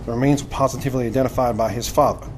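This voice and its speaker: An actor playing an earnest, courteous young gentleman recruit of the 1870s in an audio drama. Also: medium pitch, steady tone, racing, a little hiss or hum, close to an everyday voice. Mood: stunned. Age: 20s.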